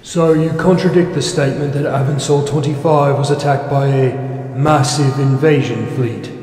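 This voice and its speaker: A man acts out a stern military judge in a science fiction audio drama. His medium-pitched voice is formal and commanding as he presides, echoing with long reverb in a large chamber. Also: steady tone, moderate pace, a little mellow, close to an everyday voice. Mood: formal, grave. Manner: formal, authoritative, interrogating. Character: stern, imperious male military marshal presiding over a tribunal. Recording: echoey hall reverb.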